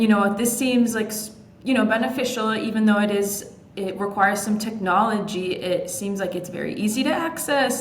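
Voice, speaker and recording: A woman whose first language is Ukrainian, hosting a podcast and asking friendly questions with many fillers. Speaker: podcast host. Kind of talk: interview questions. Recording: echoey room with faint rumble.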